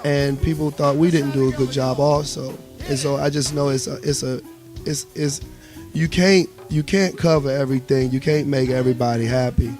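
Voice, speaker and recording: A man's voice: mid-range voice, fairly even intonation, moderate pace, darkish tone, faint music behind. A man with a Washington, D.C. accent talking casually as an interview guest, telling things in colorful slang and everyday phrasing. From United States.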